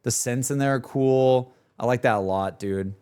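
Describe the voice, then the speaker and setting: A man chatting casually as a streamer reacting to music, with an excitable, slangy, rambling way of talking. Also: mid-range voice, moderate pace, clean tone. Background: music playing behind the voice.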